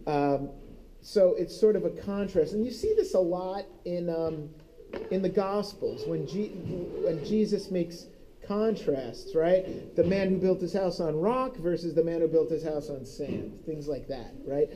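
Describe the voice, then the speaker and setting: A man's voice: fairly high pitch, unhurried, a crowd in the background, low-quality recording. A man leading a church Bible study group, teaching conversationally and often asking "right?". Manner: conversational, keeps checking in with "right?". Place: church meeting room.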